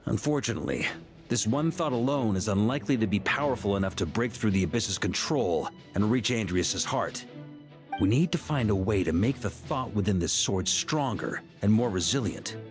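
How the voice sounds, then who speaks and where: An actor playing a confident knight leader who explains things in a measured, authoritative way. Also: male voice, on the low side, lively intonation, natural voice. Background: game music.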